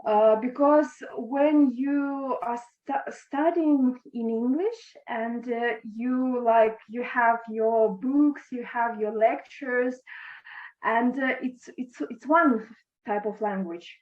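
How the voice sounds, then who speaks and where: A young woman whose first language is Russian, speaking English as an interview guest, halting and restarting her words. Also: on the high side. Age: young adult. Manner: halting, with frequent restarts and stammers. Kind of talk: video call interview. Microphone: video call. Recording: echoey, dull lo-fi video call.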